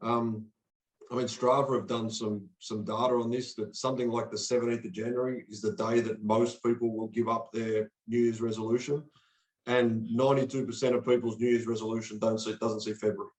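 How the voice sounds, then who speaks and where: An earnest man from Australia talks like a motivational coach, often doubling words as he gets going. His voice sits at an ordinary pitch. The room around him is echoey. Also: level tone, a little fast, a little mellow. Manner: earnest, motivational, repeats words when starting phrases. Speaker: podcast guest, coach. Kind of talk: conversational interview.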